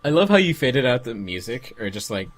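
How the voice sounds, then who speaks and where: A man's voice: medium pitch, very animated, fairly quick. A man chatting casually as an editor on a group watch-along commentary track.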